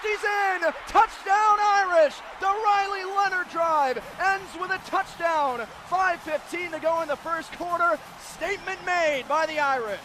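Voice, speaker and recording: A man with an American accent calls live football on radio as play-by-play announcer, with a dramatic delivery moving between measured detail and excited calls. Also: extremely high pitch. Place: stadium broadcast booth. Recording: live stadium radio broadcast, loud crowd noise. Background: loud stadium ambience.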